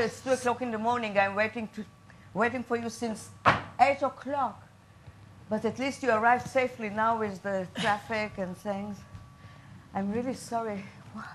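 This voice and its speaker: A woman's voice: fairly high pitch, slight background noise. A woman from Israel, speaking as a tour guide in an apologetic, chatty, confiding way. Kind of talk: stage monologue.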